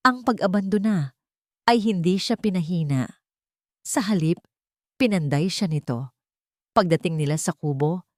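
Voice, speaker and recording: A woman's voice: on the low side, no echo, studio quality. A woman narrating a scripted dramatic story.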